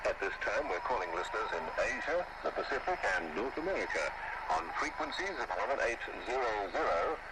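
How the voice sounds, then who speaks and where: A man's voice: mid-range voice, sing-song, a little fast. A man reading a formal scripted station announcement on shortwave radio. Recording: very noisy shortwave radio reception.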